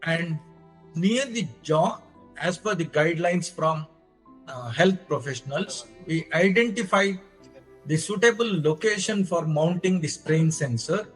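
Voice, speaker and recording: A man with an Indian accent giving a technical lecture as a presenter, explaining deliberately step by step in short phrases. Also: fairly high pitch, breathy edge, faint music behind, rough recording. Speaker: lecturer presenting own engineering research.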